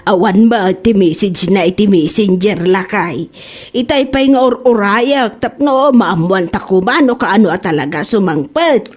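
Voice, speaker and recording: A woman's voice: medium pitch, expressive, a little slow, darkish tone, soft background music. A woman playing a fretful, elderly wife and mother in an Ilocano comedy radio drama, with an Ilocano Filipino accent. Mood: expectant.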